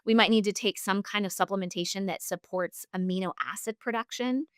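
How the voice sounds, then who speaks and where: A woman's voice: medium pitch, fairly quick, fairly bright tone, no echo, studio quality. A woman speaking as a health practitioner and interview guest, giving long, earnest, instructive explanations.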